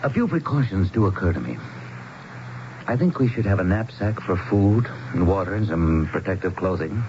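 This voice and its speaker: An actor playing a loyal, cautious small-town mayor in a 1970s radio drama, with an earnest, measured and rather formal manner. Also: male voice, low-pitched, expressive. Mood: practical, cautious. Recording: dull, noisy 1970s radio broadcast.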